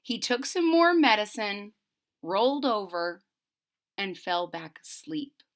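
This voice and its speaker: A woman storyteller reading a picture book to children, deliberate and expressive, with simple explanations. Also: mid-range voice. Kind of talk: expressive read-aloud with simple recaps.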